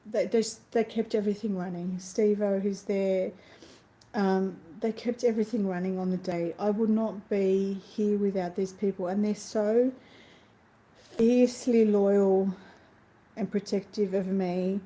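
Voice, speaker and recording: A middle-aged woman, talking straight to her live-stream viewers. Her voice is mellow and soft-edged, and she gushes and rambles through many restarts. She is tearful and sniffly throughout. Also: medium pitch, fairly even intonation, unhurried, low-quality recording. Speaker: host of a spiritual live stream. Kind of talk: casual live-stream chat.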